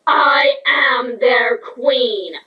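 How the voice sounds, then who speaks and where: A woman playing a proud, hateful changeling queen in a fan audio drama, speaking imperiously and deliberately. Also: on the high side. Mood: angry, defiant. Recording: roomy, distant, muffled, noisy microphone.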